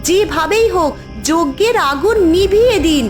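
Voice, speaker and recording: A woman's voice: extremely high pitch, expressive, normal speed, soft background music. A woman voicing a defiant, righteous magical firebird in a cartoon fairy tale, declamatory and urgent. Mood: urgent pleading.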